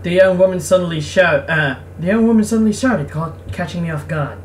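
A woman's voice: on the low side, brisk. A woman gamer reading a visual novel aloud, breaking off for casual, irreverent, sweary commentary. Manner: irreverent, sweary, self-interrupting. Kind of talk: casual playthrough commentary and narration. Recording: distant mic, faint background noise.

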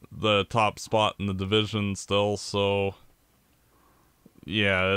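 Slow, clear-voiced man. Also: fairly low pitch, pushed and bright, dry recording. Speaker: podcast co-host. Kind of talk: casual sports podcast chat.

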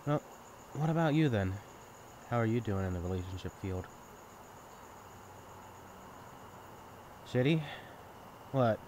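A man's voice: mid-range voice, darkish tone, slight background noise, natural voice. A young man acting in an intimate audio roleplay as a sibling's best friend, talking candidly and casually in a caring, teasing way. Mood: wry, reflective. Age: young adult. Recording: night ambience with chirping crickets. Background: crickets and insects, outdoor ambience.

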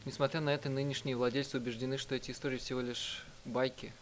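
A man reading out a live translation of a visual novel in a halting, self-correcting way. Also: mid-range voice, flat delivery, slight background noise. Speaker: let's player reading out translations. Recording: music behind the voice. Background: game music.

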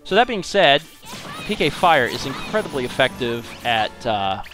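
A man's voice: medium pitch, big pitch swings, moderate pace. A young man casually commenting on a co-op game as a let's play host, upbeat and chatty, thinking aloud while he plays. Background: game music and sound effects.

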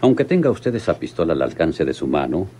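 An actor playing a calm, authoritative veteran police lieutenant in a radio serial, formal and measured. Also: male voice, extremely low pitch, music in the background. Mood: calm, cautioning. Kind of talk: old-time radio serial acting.

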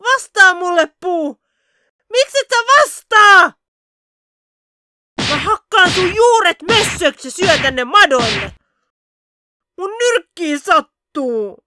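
A woman voicing a loud, gullible, hot-headed young ninja boy in an anime parody dub. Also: very high-pitched, pauses often, dry recording, studio quality, full character voice. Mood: loud, frantic. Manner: excitable, exclaiming, stammering when startled.

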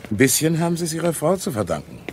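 An actor playing a self-interested company boss in a radio crime drama, patronising and conversational. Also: male voice, a little high, sing-song, rapid-fire, darkish tone. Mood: calculating, patronising. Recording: faint noise, ambience behind.

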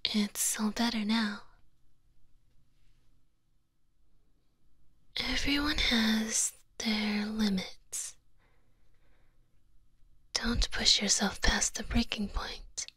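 A woman playing a haughty, coaxing female vampire in a breathy whisper for an ASMR roleplay, delivered deliberately and theatrically up close to a binaural microphone. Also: a little high, steady tone, sharp tone, dry recording, heavily acted. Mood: gently haughty.

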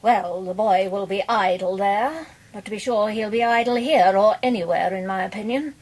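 A cold English spinster in a radio drama, acted by a woman. Her husky voice is haughty and grudging, full of stern disdain. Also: medium pitch, normal speed, darkish tone, lightly acted, poor audio.

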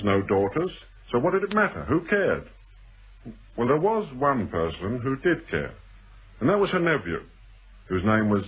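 A very husky, rough-edged British man in a radio thriller, sly and insinuating. His speech swings widely in pitch as he teases and probes, on a muffled old radio recording. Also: exaggerated voice. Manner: teasing, probing, deliberate. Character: shrewd, insinuating male stranger, needling storyteller in a thriller. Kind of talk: British radio drama acting. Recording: muffled band-limited old radio broadcast.

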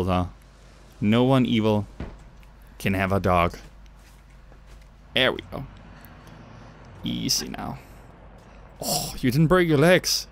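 A young man from Denmark with a Danish accent, chatting through a game with jokey, self-mocking asides at his home setup. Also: lowish voice. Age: young adult. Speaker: gaming YouTuber. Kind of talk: let's play commentary. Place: home gaming setup, indoors.

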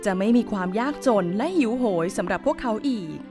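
Animated high-voiced woman storyteller. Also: a little fast, over music. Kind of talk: scripted children's fairy tale narration.

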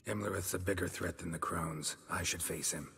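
An actor playing a stoic, hardened middle-aged monster hunter in a fantasy game, terse and restrained, with a low, gruff voice in a reverberant space. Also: male voice, fairly even intonation, normal speed, bright, forceful tone, exaggerated voice. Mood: firm, protective. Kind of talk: cinematic game acting.

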